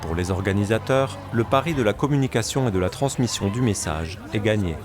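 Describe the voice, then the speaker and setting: Low-voiced man narrating a news report. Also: lively intonation, fairly quick. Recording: loud music behind.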